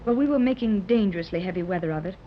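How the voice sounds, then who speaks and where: A woman's voice: mid-range voice, rapid-fire, close to an everyday voice. Actress as an earnest 1930s radio-serial lady. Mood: earnest recounting. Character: earnest, hopeful wealthy mother seeking lost daughter. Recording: dull, noisy 1930s radio recording.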